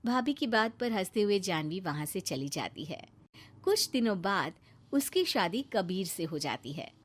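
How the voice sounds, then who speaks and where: A woman's voice: medium pitch, sing-song, unhurried. A woman reading scripted narration for a moral story as its narrator.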